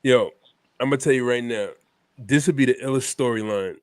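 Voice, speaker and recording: A man's voice: medium pitch, a little fast, no room sound. Guy with a cold, stuffy, laid back, says man a lot, super dry sound. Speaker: podcast host. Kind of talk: casual wrestling chat.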